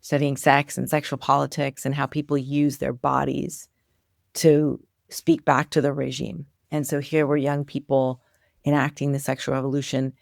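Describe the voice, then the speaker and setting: Gravelly woman telling stories, dry sound. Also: very deep voice, normal speed, no echo. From Iran. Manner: animated storyteller, many "like" and "you know" fillers. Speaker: guest. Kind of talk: casual interview storytelling.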